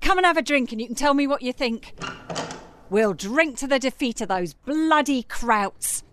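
A woman's voice: high-pitched, expressive, normal speed, a bit bright, clear, resonant tone, no room sound. A woman playing a feisty young street singer, spirited and defiant. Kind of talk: radio drama acting.

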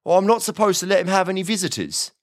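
A man's voice: high voice, lively intonation, fast talker, voice pushed up. A man voicing a meek, polite small-town policeman in an audiobook, hesitant and courteous. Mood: flustered. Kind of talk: audiobook character acting.

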